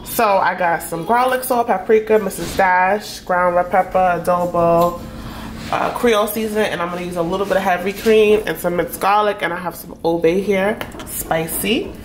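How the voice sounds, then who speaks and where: A woman's voice: lowish voice, moderate pace. A young woman vlogging in her home kitchen, chatting casually and listing ingredients as she cooks. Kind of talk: casual cooking vlog narration. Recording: home kitchen with clear cooking noise. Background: cooking sizzle and kitchen noise.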